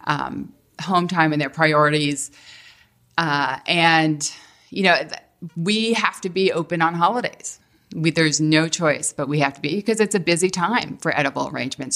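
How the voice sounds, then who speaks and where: A woman's voice: low voice, fairly bright tone. A middle-aged woman, a franchise owner, talking casually as a podcast guest with lots of fillers and restarts. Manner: hesitant, many fillers and restarts. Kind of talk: casual interview talk.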